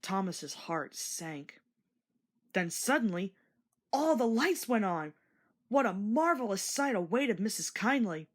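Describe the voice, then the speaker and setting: A woman narrating a scripted children's story in an expressive storytelling manner. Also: mid-range voice, takes it slowly.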